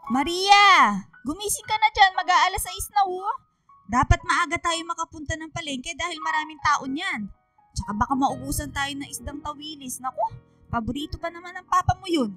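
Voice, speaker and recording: A woman's voice: very high voice, moderate pace, pushed and bright, soft background music. A woman playing an anxious, fussing middle-aged Filipino mother and wife in a radio drama, fretful and insistent. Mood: brisk, nagging.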